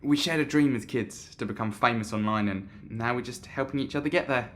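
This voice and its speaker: An eager young man with a medium-pitched voice played by an actor hopes to manage influencers in this audio drama. His talk is casual, inquisitive and full of questions. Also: brisk, natural voice. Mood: keen, sheepish.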